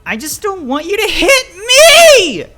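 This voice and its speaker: A man voicing an angry, defiant young animal boy in a dramatic story, yelling and tearful with a cracking voice. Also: very high voice, big pitch swings, moderate pace, faint music behind, pitched above the performer's own voice, heavily acted. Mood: hysterical rage. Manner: foul-mouthed, yelling, voice cracking.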